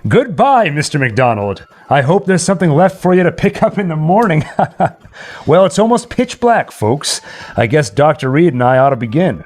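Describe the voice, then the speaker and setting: A man's voice: a little high, lively intonation, moderate pace, a little mellow. A man playing a jaunty, skeptical radio DJ who narrates a haunted house visit in a chatty, wry radio-play style. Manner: chatty, wry, addressing the listeners.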